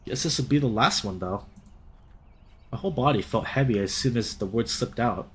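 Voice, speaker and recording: A man's voice: mid-range voice, moderate pace. A young man hosting a let's play, reading a visual novel aloud expressively and reacting as he goes. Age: young adult.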